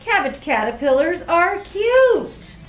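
Woman voicing a high-pitched, encouraging boy caterpillar. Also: big pitch swings, takes it slowly, roomy sound, far from the mic, a little hiss or hum, exaggerated voice. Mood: scolding. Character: kind, encouraging young male caterpillar friend.

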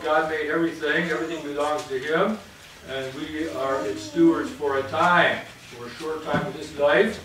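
A man in his fifties, a priest giving a sermon in a halting, hesitant manner with repeated phrases. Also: on the high side. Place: indoors at a church pulpit or lectern, reverberant. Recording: distant mic in echoey room, clear background noise.

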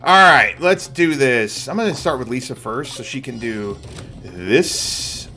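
A man's voice: medium pitch, animated, a little slow, sharp tone. A middle-aged man giving casual live commentary as he plays a game. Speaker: game streamer. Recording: game music behind the voice. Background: game music.

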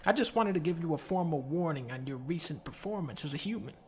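A man's voice: fairly high pitch, expressive, fast, slight background noise, natural voice. A man playing God as a blunt, sweary figure lecturing someone about his choices.

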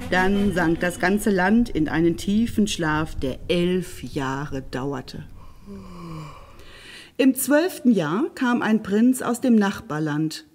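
Female story host, mid-range voice, playful and expressive delivery for a young audience. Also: medium pitch. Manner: playful, addresses the listener directly.

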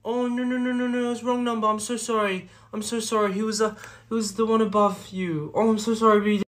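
A man's voice: mid-range voice, lively intonation, a little fast, slightly rough. A man playing a crime boss boyfriend in a roleplay skit, doting on his girlfriend with baby talk and menacing toward everyone else. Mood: doting, cooing. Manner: switches between sugary baby talk and cold threats.

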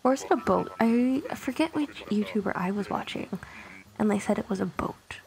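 A woman, raised speaking Tagalog, chats casually over gameplay in a mid-pitched voice with a gravelly fry in it. She rambles and drifts between thoughts, laughing at herself as she goes. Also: expressive, normal speed, darkish tone, rough recording. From Canada. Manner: chatty, rambling, self-conscious laughs. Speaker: gaming YouTuber.

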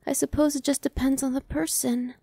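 A young woman voicing a gentle female vampire who speaks softly and slowly, sometimes whispering. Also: sits high, little pitch movement, creaky, no room sound. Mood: soothing. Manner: soft, slow and reassuring, dropping to whispers. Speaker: voice actress. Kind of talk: ASMR roleplay.

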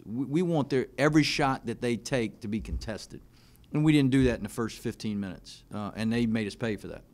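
A man in his thirties, a basketball coach answering questions after a game, speaking in a measured, plain way with frequent fillers into a desk mic in a press room. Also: lowish voice. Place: press room in front of a team backdrop. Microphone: desk mic on a stand.

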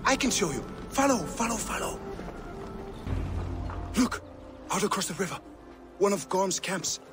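Game role: nervous, eager middle-aged Norse trader, fawning oddball, very animated delivery over prominent score. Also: male voice, high voice, measured pace, music in the background. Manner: jittery, repetitive, fawning, clipped broken phrasing. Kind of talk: video game cutscene acting.

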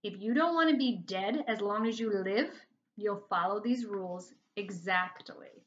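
A woman voicing a gloating, smug male school principal with a mocking, drawn-out delivery, in a storybook reading. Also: medium pitch, fairly bright tone, highs cut off, lightly acted.

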